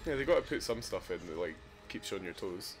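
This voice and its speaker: A man's voice: deep voice, very animated, quick pace. A young Scottish man giving casual, jokey, sweary commentary as a gaming video host. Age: young adult. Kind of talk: casual joking game commentary.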